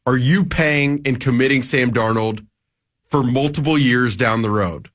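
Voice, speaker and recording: A man's voice: medium pitch, a little slow, fairly bright tone. A man in his twenties talking casually as a sports reporter guest on a radio show, rambling with lots of fillers and restarts. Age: 20s.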